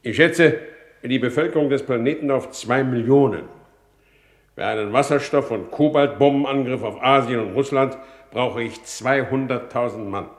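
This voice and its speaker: An actor playing a blunt, sardonic war minister in a 1950s radio drama, with a brisk, declamatory and contemptuous delivery. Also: male voice, medium pitch, close to an everyday voice. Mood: brisk, confident. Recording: roomy vintage radio studio sound.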